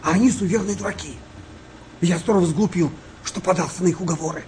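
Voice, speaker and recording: Man playing gruff skeptical sailor in a war radio drama, slightly high pitch, faint noise behind. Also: fast, a little mellow, lightly acted. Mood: irritated. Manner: blunt, dismissive, steadying. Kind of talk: Russian radio play acting.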